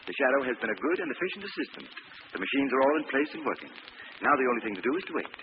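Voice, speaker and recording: An actor playing a nervous, gullible professor and inventor in an old-time radio mystery. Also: male voice, mid-range voice, very fast, close to an everyday voice. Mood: uneasy. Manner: anxious, hesitant, excitable. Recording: muffled, noisy old radio recording.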